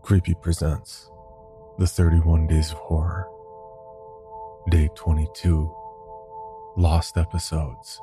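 A man's voice: very deep voice, flat delivery, takes it slowly, pauses often, music in the background. A man hosting a horror podcast and reading scripted first-person stories. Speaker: podcast host and story reader.

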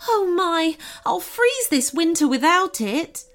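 A woman's voice: sits high, big pitch swings, measured pace, pitched above the performer's own voice. A woman voicing a kindly, anxious female goblin with a folksy, polite way of talking, in a storybook tale. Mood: distressed, fretting.

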